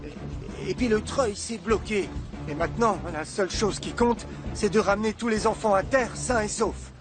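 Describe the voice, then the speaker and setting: Excitable high-voiced cartoon fisherman dad. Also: normal speed, over music. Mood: agitated. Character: excitable boastful fisherman father, good-hearted but clumsy. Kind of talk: French cartoon dubbing.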